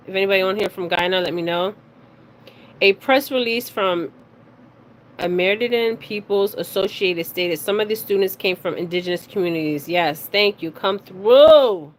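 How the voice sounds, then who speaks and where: A woman hosting a casual spiritual reading, speaking haltingly, stumbling over words and often checking in with her listeners. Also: mid-range voice. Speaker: spiritual reader and card reader hosting her own video. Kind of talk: casual psychic reading, reading news details aloud. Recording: clearly noisy recording with background ambience.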